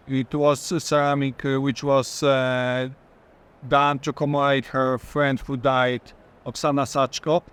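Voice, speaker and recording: A man informally explaining an artwork, halting and restarting his phrases. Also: mid-range voice, a bit bright, clear, resonant tone. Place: art fair booth, walking tour.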